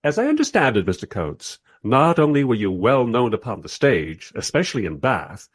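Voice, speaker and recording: A man playing a wry, politely exasperated film director who narrates a radio play in conversational asides with a husky voice. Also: mid-range voice, sing-song, moderate pace, no echo, studio quality.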